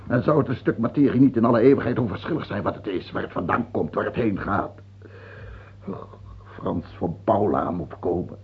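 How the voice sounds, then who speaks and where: A man's voice: fairly low pitch, normal speed, breathy edge. An actor in a radio play playing a dying old king, theatrical, imperious and afraid, swinging from pleading to commanding. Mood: weary, gasping. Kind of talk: Dutch radio play acting. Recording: tinny, band-limited old radio recording, faint hiss.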